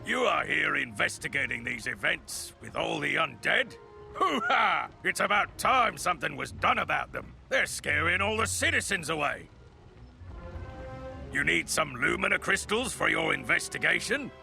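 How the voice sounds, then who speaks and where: A man playing a blustery, folksy miller in a fantasy video game, with a gravelly, hearty delivery. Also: a little high, very animated, moderate pace, faint music behind, exaggerated voice. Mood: hearty, indignant. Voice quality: gravelly, sharp. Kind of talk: video game character acting.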